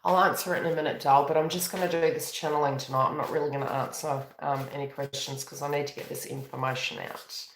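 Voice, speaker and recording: A woman's voice: low-pitched, fairly even intonation, fast, hardly any pauses, roomy sound, slight background noise, rough recording. A woman with a New Zealand accent, a tarot reader and astrologer on a live stream, talking in a tired, rambling, conversational way with many self-corrections. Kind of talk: live-streamed spiritual reading.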